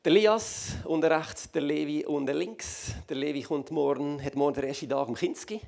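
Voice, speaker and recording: Lively Swiss German pastor. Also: male voice, fairly high pitch, moderate pace, gravelly. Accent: Swiss German, Basel. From Basel region, Switzerland. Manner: lively, joking, loud with many fillers. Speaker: guest pastor. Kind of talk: church stage talk. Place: large church hall on stage.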